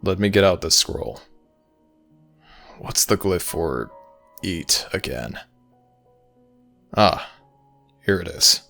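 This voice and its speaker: An ancient god of the dead, played by a man in an intimate audio roleplay. His voice is extremely deep and gravelly, with a forceful edge. He speaks in formal, measured phrases, gruff yet protective. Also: expressive, faint music behind, full character voice. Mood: gentle concern. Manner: formal, measured, archaic phrasing, addressing a listener as child. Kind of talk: ASMR audio roleplay, slow intimate dramatic monologue.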